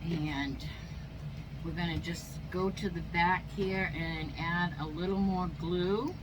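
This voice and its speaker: A woman with a stuffy nose and a slightly squeaky voice, chatting casually as she narrates each step of a craft project. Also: background noise. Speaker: craft channel host. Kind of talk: casual how-to crafting chat.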